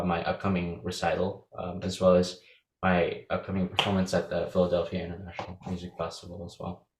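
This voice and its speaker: Young Filipino man, interview guest, low-pitched, hesitant answers in an echoey room. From Philippines. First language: Visayan. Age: young adult. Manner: hesitant, many fillers and restarts.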